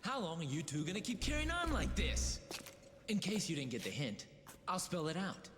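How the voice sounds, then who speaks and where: An actor playing a cocky, impatient demon hunter hero in a video game, with a brash, sarcastic drawl. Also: male voice, high-pitched, big pitch swings, normal speed, over music. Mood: exasperated. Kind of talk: video game cutscene acting. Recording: reverberant game audio with noisy background.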